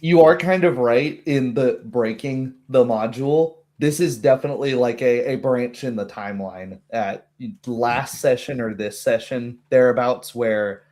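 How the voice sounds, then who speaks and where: A man with a mid-pitched voice talks casually as a tabletop game master. He rambles through his thoughts and slips into quick acted-out quotes to make his points. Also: darkish tone. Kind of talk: casual chat about a tabletop game session.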